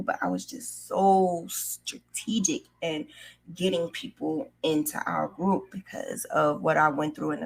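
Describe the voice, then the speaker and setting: Casual female vlogger, slightly low voice, candid storyteller who leans on repetition for emphasis. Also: fairly bright tone. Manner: candid, conversational, repeats phrases for emphasis, acts out quotes. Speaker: crochet craft vlogger and storyteller.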